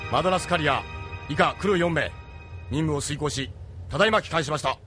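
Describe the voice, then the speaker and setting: An actor playing a gruff, easygoing spaceship captain who talks bluntly and casually in a Japanese anime audio drama. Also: male voice, a little high, fairly quick, pushed and bright, faint music behind. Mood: commanding.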